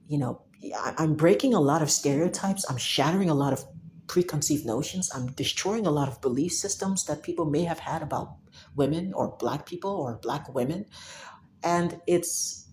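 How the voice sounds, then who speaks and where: A woman's voice: sits low, a little mellow, a little hiss or hum. A young woman from Cameroon, talking casually and emphatically to the camera, with restarts and frequent laughs. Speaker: software developer talking to camera. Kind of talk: casual monologue.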